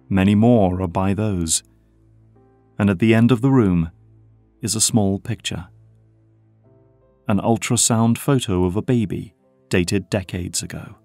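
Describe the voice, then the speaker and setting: A man's voice: lowish voice, a little mellow, no echo. A man reading scripted narration for an audio drama.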